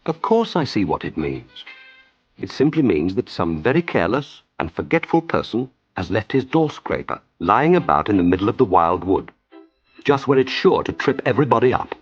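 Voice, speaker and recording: A man's voice: fairly low pitch, big pitch swings, normal speed, husky, highs cut off, faint music behind. A man voicing a small, timid male mole in a children's story, plaintive and polite in manner. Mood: indignant.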